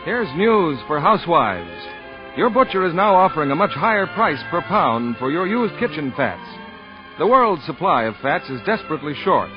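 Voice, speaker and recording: Male 1940s radio announcer, highish animated pitch, public appeal, muffled recording over music.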